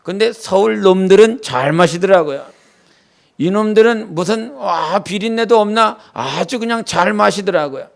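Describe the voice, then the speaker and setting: High-voiced pastor, smooth and clear. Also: male voice, normal speed. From Jeolla, South Korea. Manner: earnest preaching mixed with homely childhood anecdotes. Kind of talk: Wednesday church sermon. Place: church sanctuary.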